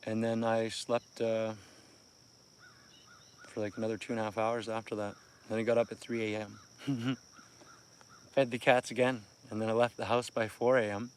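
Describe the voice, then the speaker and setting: A man's voice: fairly low pitch, little pitch movement, moderate pace, long pauses, a little hiss or hum. A tired Canadian man in his thirties, chatting casually to livestream viewers by a lake at dawn and keeping his voice down, with frequent laughs. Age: 30s. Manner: chatty, laughs often, keeps voice down. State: tired from little sleep. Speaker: fishing livestreamer. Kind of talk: casual live chat to viewers. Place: outdoors by a lake near a campsite at dawn. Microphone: phone livestream.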